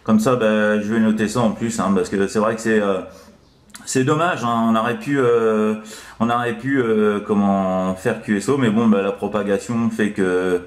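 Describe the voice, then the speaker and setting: A man's voice: fairly low pitch, fairly even intonation, normal speed. A man having a casual CB radio chat as an operator, rambling and hesitant, repeating little filler tags. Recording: echoey room.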